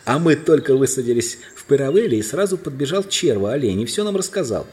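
A man's voice: medium pitch, very animated, fast, a little mellow, pitched above the performer's own voice, natural voice. A man playing a brave, unruly young prince in a storybook, impetuous and full of exclamation. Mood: elated.

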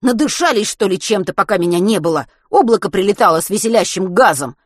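A woman's voice: fairly high pitch, big pitch swings, unhurried, fairly bright tone, no echo, clean studio sound, pitched above the performer's own voice. A woman voicing an agitated, impatient teenage boy in an audiobook, blurting out exclamations and questions. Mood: indignant, frantic.

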